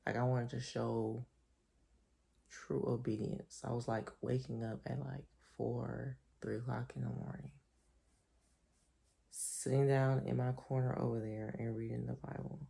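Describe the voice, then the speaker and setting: A sleepy woman with a deep voice, chatting to her camera at home in a rambling, reflective way. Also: flat delivery, unhurried, gravelly. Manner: reflective, rambling, soft-spoken. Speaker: vlogger. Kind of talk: casual chat to camera. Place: top-floor apartment at home. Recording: echoey room.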